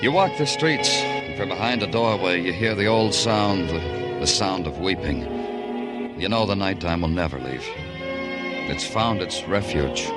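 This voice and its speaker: An actor playing a world-weary, brooding police detective in a 1950s radio noir, with terse, somber phrasing. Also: male voice, low-pitched. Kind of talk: 1950s radio noir drama, poetic narration. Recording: old radio drama under loud orchestral music.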